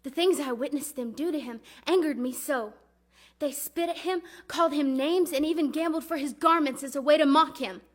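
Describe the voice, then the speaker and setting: A woman performing a dramatic religious monologue as a grieving, devout mother recalling her son, speaking earnestly and deliberately. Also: high voice, natural voice. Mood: pained, earnest. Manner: earnest, reverent, deliberate storytelling.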